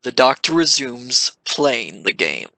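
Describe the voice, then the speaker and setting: Bright-voiced male audio drama narrator. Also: mid-range voice, very animated, slow pace, creaky, no echo, rough recording.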